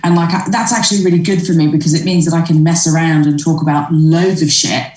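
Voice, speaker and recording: A woman's voice: sits low, fairly even intonation, fast talker, darkish tone, distant mic. A woman in her thirties with an English accent, a comedian talking casually in an interview, with many fillers and restarts. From Australia. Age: 30s. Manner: hesitant, lots of fillers and restarts, laughs often. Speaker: stand-up comedian, guest. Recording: roomy, from off the mic.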